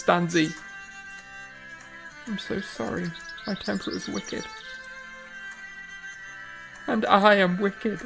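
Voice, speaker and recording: A woman's voice: fairly low pitch, gravelly, rough recording. A woman voicing a remorseful, hot-tempered young male composer who stammers, pleads and lashes out at himself in a stage-play style. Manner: stammering, pleading, breaks into frantic self-scolding.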